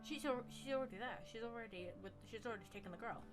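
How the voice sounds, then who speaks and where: A woman's voice: a little high, fast. A woman giving casual, jokey gameplay commentary, talking back to the characters as she plays. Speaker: streamer playing a game. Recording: loud game music behind.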